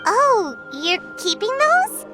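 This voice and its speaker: Tiny game fairy sidekick, extremely high squeaky voice, childlike, excitable, whiny, swooping intonation. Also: female voice, slow, over music, heavily acted. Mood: surprised. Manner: speaks of self in third person, exclamatory. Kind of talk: English video game voice acting.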